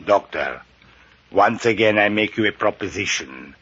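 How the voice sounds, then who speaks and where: A muffled old radio recording of a commanding villain. His voice is low and sharp with a husky edge, and he treats his prisoners with formal, cruel courtesy. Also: expressive, full character voice. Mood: smooth menace. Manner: formal, deliberate, Spanish-sprinkled speech. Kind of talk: 1940s radio acting.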